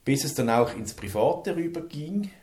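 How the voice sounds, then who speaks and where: A man's voice: on the high side, big pitch swings, moderate pace, darkish tone, echoey room. A man, an interview guest speaking earnestly about his life, stuttering and repeating words. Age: 50s. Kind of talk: personal interview.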